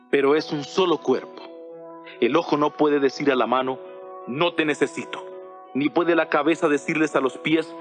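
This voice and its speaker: A man playing an earnest apostle instructing his church in a solemn, measured, rhetorical dramatized audio Bible reading. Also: on the high side, natural voice. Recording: voice over background music. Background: music.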